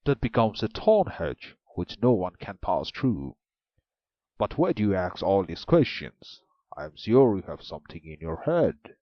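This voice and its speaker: A man voicing a big, gruff male ogre who questions the girl suspiciously, loud and slow-spoken. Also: lowish voice, sing-song, no echo, highs cut off.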